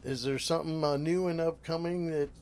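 A man hosting a casual interview, friendly and quick with short encouraging replies. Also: a little high, steady tone, a little mellow. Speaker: radio host.

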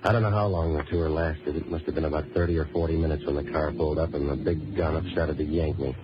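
Deep, wisecracking American noir tough guy. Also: quick pace, a crowd in the background. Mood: dry narration. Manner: sardonic, deadpan. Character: wisecracking, cynical waterfront boat-rental man, hardboiled noir hero. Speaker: lead actor and narrator. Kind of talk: 1940s radio noir acting. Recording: muffled, noisy old radio recording.

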